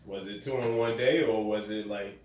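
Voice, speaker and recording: Low-voiced young man, very echoey room. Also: steady tone, moderate pace, a little mellow. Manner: blunt, swears, frequent restarts. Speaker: podcast host. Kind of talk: casual storytelling. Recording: very echoey room, distant mic, faint noise.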